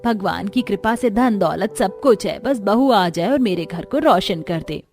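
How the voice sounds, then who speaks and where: A woman's voice: mid-range voice, expressive, measured pace, a little mellow. A woman voicing a rich, doting mother-in-law in a cartoon story, sweetly formal. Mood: gracious, doting. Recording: music under the voice.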